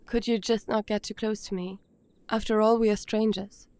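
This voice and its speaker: A woman acting in an audio drama as a guarded, sluggish young person, speaking earnestly and haltingly. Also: medium pitch, level tone, a little mellow, a little hiss or hum, lightly acted.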